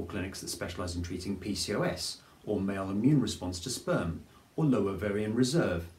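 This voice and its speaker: A man, presenting a scripted explainer to camera in a clear, measured way. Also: lowish voice, darkish tone. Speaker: fertility advice presenter. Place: plain studio with grey backdrop. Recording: roomy studio echo.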